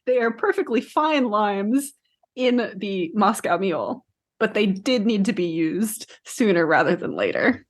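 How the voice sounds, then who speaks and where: A woman's voice: medium pitch, animated, a little slow. A woman co-hosting a podcast in casual chat, matter-of-fact with a dry, teasing streak. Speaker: podcast co-host.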